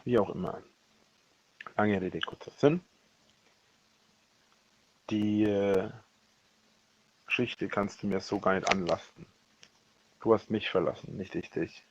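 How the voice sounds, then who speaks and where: Low-pitched man, husky and gravelly, halting rambling personal monologue, self-correcting, dull lo-fi voice message. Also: a little hiss or hum. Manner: halting, repeats and corrects himself. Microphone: voice message recorded on a phone. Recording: dull lo-fi leaked voicemail recording.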